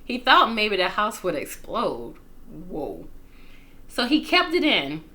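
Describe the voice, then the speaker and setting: Casting: female storytime reader, expressive and very lively in pitch, bright tone, playful and casual with young listeners. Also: slow pace. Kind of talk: animated children's story read-aloud with casual asides.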